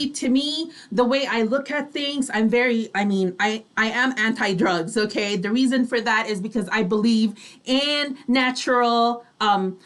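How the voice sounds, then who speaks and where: A woman co-hosting a casual radio show, chatty and often tagging sentences with "you know" and "right". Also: fairly high pitch.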